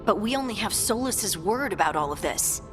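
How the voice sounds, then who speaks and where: A woman's voice: medium pitch, lively intonation, normal speed, close to an everyday voice. A woman playing an earnest, insistent scout in a fantasy video game party. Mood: urgent. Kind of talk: video game cutscene acting. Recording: loud music behind the voice.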